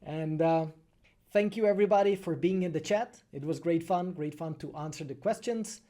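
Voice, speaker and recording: A man from the Czech Republic with a Czech accent, answering viewer questions casually on a livestream, phrasing things thoughtfully with frequent pauses. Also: fairly high pitch, warm tone. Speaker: animated film creator and streamer.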